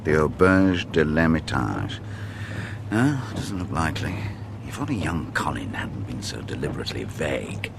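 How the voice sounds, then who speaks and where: An actor playing a thoughtful, persistent police detective in a radio drama, reasoning aloud in a tentative way. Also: male voice, very deep voice, expressive, moderate pace, vocal fry, heavy background noise, full character voice. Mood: musing.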